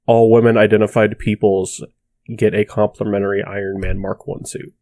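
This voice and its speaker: A man's voice: fairly low pitch, flat delivery, moderate pace, darkish tone. A young man chatting casually and wryly as a podcast co-host. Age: young adult.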